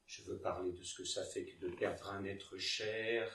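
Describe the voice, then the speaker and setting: A man playing a volatile, domineering theatre director in a theatrical, hectoring, repetitive way, swinging between hushed intensity and shouting. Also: low-pitched, steady tone, a little slow, gravelly, exaggerated voice. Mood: hushed, intense. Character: domineering, volatile male theatre director berating his actress. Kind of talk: theatrical stage acting. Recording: roomy, distant mic with background noise.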